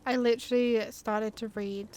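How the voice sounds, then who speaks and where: A woman with a fairly high voice gives casual let's play commentary. She is chatty and informal, thinking out loud through the game as its host. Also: steady tone, normal speed. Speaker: gaming video host.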